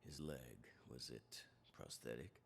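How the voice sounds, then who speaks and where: Wary aging male criminal, extremely low and gravelly, audio drama game. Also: takes it slowly, a bit bright, a little hiss or hum, heavily acted. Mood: suspicious.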